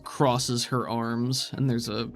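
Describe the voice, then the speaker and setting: A man's voice: mid-range voice, flat delivery, slow, soft background music. A man working as game master, narrating an improvised tabletop game in a descriptive, conversational way.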